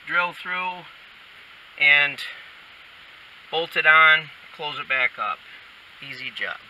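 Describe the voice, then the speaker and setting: Male DIY demonstrator, casual and unhurried, recorded off-mic in a noisy truck cab. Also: a little high, lively intonation. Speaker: DIY installer demonstrating a how-to. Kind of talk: casual hands-on tutorial talk. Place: inside a pickup truck cab. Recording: distant mic, loud vehicle background noise.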